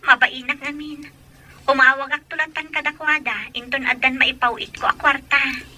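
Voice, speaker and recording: An actress with an Ilocano accent playing an earnest, gentle woman in a family radio drama. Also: high-pitched, steady tone, moderate pace, a little hiss or hum. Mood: apologetic, reassuring.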